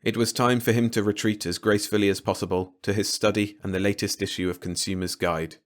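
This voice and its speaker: A man's voice: fairly low pitch, fairly even intonation, fast. A man reading a short story aloud as scripted audiobook narration.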